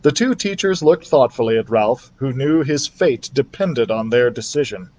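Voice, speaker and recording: A man's voice: medium pitch, animated, moderate pace, a little mellow. A man narrating a children's storybook expressively, as a library storyteller reading aloud.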